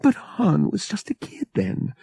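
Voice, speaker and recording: A man's voice: unhurried, dark tone, no echo, close to an everyday voice. A man voicing a loyal, defensive young woman who pleads emotionally, close to tears. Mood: pleading, defensive.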